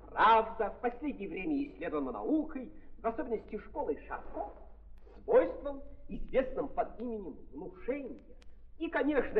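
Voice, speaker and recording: An actor playing a pompous prosecutor making a florid, theatrical speech to a jury in old radio theatre style. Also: male voice, high voice. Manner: theatrical, rhetorical, repeating filler phrases grandly. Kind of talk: 1930s radio theatre courtroom oration. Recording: tinny, narrow-band 1930s radio recording.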